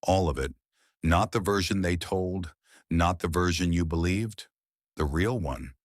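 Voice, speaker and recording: Man voicing a soft-spoken, haunted woman police captain. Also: sits low, measured pace, no echo, studio quality. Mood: soft, resolute. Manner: soft, hushed, tender. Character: quietly haunted female police captain, gentle and resolute.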